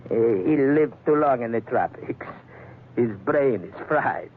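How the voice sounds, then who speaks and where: A man's voice: medium pitch, animated, a little slow, close to an everyday voice. A male actor plays a swaggering Spanish pirate captain, menacing under mock courtesy. His Spanish-accented broken English rises and falls freely. It comes through a muffled, phone-thin 1940s radio recording with faint noise. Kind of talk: 1940s radio drama acting.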